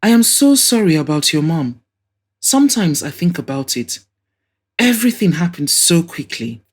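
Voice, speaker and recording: A woman's voice: low voice, very animated, moderate pace, breathy edge, exaggerated voice. A woman voicing an effusive, nostalgic older mother and hostess in an audio drama. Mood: sorrowful. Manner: effusive, exclaiming, reminiscing.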